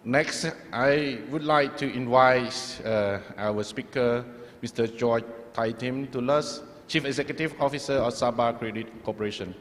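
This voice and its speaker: A man's voice: mid-range voice, unhurried, a bit bright. A man moderating a conference session, thanking and introducing speakers politely. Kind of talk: formal moderating. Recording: hall reverb with faint noise.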